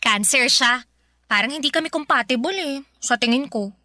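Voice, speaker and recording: A woman's voice: high voice, moderate pace, bright, forceful tone, no echo, clean studio sound. A woman playing an earnest, flustered woman in her twenties in a Filipino radio drama, coy and protesting. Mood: defensive, coy. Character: earnest, flustered 25-year-old woman torn between suitors.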